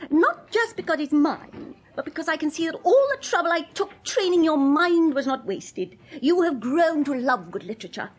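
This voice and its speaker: High-voiced, gushing English lady novelist. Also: expressive, moderate pace, few pauses. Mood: gushing, pleased. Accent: upper-class English. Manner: effusive, intellectual, condescending. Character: earnest, gushing, domineering young upper-class lady novelist. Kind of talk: radio comedy acting.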